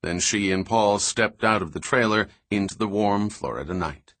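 A man narrates an audiobook in a steady, even way. His voice is very deep with a husky grain. The recording is close and dry, with no room sound. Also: normal speed, no room sound. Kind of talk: scripted narration.

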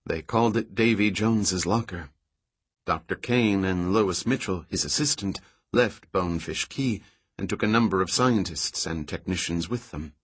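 A man voicing a guarded, tired female research scientist in an audiobook, with a measured, even delivery. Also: low-pitched, husky. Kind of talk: audiobook dialogue reading.

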